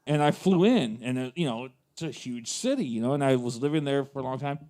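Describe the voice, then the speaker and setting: An American man from Texas hosting an open mic, chatting casually and telling anecdotes in a rambling, repetitive, animated way. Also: mid-range voice, fairly quick. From United States (Texas). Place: live open mic event at a coffee venue.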